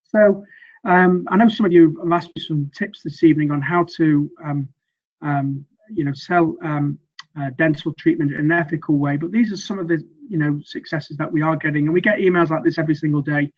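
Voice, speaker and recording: A middle-aged male coach gives a slide presentation, his voice a touch high and slightly croaky. He talks earnestly and loosely, stumbling over restarts and fillers. The recording sounds dull and lo-fi. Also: steady tone, fairly quick. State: slightly croaky from weeks of teaching. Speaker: sales communication coach.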